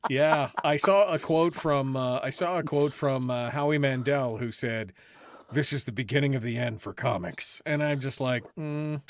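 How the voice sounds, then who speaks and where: A man's voice: medium pitch, moderate pace, dry recording. A man hosting a radio show in casual talk-radio chat, with a folksy, blunt and opinionated way of talking.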